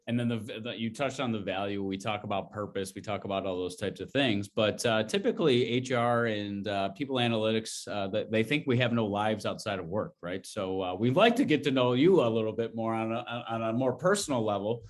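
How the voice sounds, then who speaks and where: A man's voice: lowish voice, a little fast, hardly any pauses. A man co-hosting a business podcast, asking questions in an easygoing, enthusiastic way. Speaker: podcast co-host.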